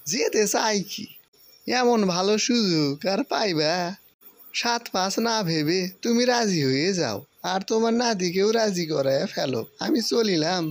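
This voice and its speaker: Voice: medium pitch, animated, a little slow, dry recording. An actor playing a pushy, friendly village cowherd in a cartoon, coaxing in a rural Bangladeshi dialect. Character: persuasive rustic cowherd man, pushy and friendly. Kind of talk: cartoon character dub.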